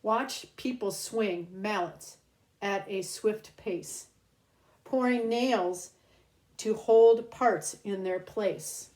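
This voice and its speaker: A woman teacher reading a children's picture book aloud in an expressive, child-directed manner, pausing to explain things. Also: mid-range voice.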